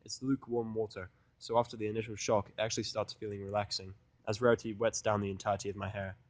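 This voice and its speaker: A man's voice: lowish voice, flat delivery, fast talker, no room sound. A man reading a first-person fan fiction audiodrama in a conversational, blunt, sardonic and profane manner. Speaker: fan fiction reader and narrator.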